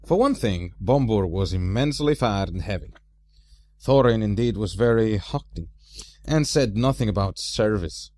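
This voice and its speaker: Low-voiced man reading a story, very animated. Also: moderate pace. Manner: expressive, theatrical delivery. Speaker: amateur audiobook reader. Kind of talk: storybook narration.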